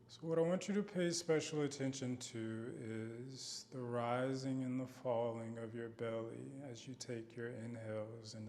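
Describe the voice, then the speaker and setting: A man guiding a body scan meditation in a gentle, unhurried manner with long pauses. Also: medium pitch, a little hiss or hum. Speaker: meditation guide.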